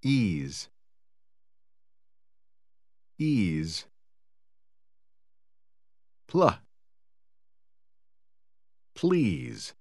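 A man giving model pronunciations in a language course, slow and carefully articulated. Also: lowish voice, huge pitch swings, darkish tone, no room sound, clean studio sound.

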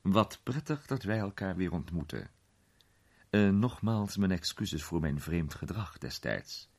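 A man acts out a courteous gentleman sleuth from a storybook, calm and erudite. The voice is deep and a little husky, with measured politeness and expressive melody. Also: heavily acted. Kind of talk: dramatized storybook reading.

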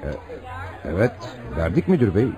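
A man's voice: fairly low pitch, very animated, normal speed, a crowd in the background. An actor playing a polite, anxious would-be adoptive father in a radio drama, hesitant and deferential.

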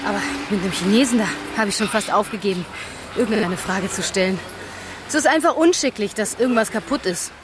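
A woman's voice: mid-range voice, a little fast, close to an everyday voice. An actress playing a practical, candid German expatriate woman, chatting colloquially in a radio drama. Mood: exasperated, amused. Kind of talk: conversational documentary radio drama. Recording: clear street and traffic noise.

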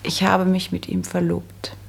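An actress playing a prim, practical and resolute young woman in a German radio drama, speaking firmly with a husky, creaky voice. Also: fairly low pitch, monotone, a little slow, slight background noise. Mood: confident. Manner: firm, matter-of-fact.

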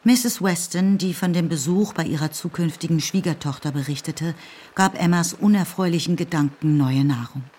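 Low-voiced woman narrating a literary radio play. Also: moderate pace, dark tone, slightly rough. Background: music.

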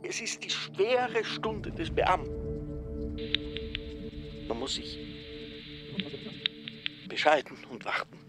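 An actress playing a brooding woman in a radio play, hushed and breathy. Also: on the low side, big pitch swings, slow pace, pauses often. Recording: loud music over the voice.

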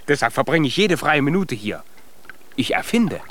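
A proud little dwarf inventor, from a children's radio drama. The voice is high and very lively, unhurried and full of boastful cheer, over a faintly noisy recording. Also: male voice. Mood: proud, excited. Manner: emphatic, boastful. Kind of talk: children's radio play acting with some singing.

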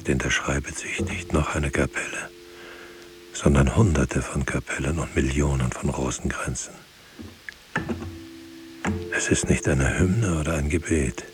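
An actor playing a brooding, weary writer who speaks poetic radio drama monologue in a deliberate, halting way. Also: male voice, a little hiss or hum. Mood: hushed, grave. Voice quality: gravelly, husky, low. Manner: deliberate, halting, hushed at times. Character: brooding, weary male writer pondering word and truth.

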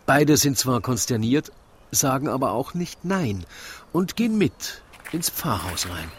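Male narrator, rhyming verse, slow theatrical delivery, medium pitch, noisy ambience behind. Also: very animated. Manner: theatrical, deliberate storytelling.

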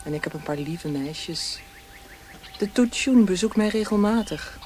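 An actress playing a worldly, languid hostess in a radio drama, calm and detached. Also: female voice, on the low side, a little slow, a little hiss or hum.

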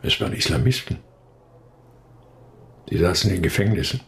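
An actor playing a guarded scientist in a radio drama, hesitant and evasive, speaking in clipped phrases. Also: male voice, low-pitched, lively intonation, normal speed, gravelly. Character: guarded male scientist confessing uneasily to dark secrets.

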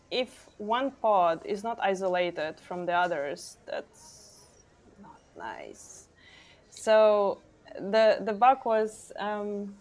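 A woman from Ukraine, an engineer guest, chatting casually on a podcast and pausing often with ums. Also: medium pitch, darkish tone. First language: Ukrainian or Russian. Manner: thoughtful, many ums and pauses.

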